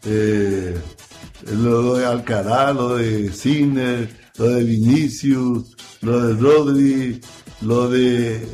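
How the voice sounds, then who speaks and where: A man hosting a radio show in casual chat, relaxed and rambling, full of fillers and restarts. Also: medium pitch, extremely slow, soft background music.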